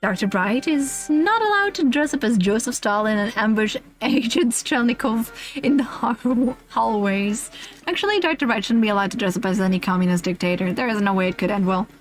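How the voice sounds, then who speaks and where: High-pitched giggly woman streamer. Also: animated, brisk, soft background music, rough recording. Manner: giggly, breaks off to comment. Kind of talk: livestream comic list reading with casual asides.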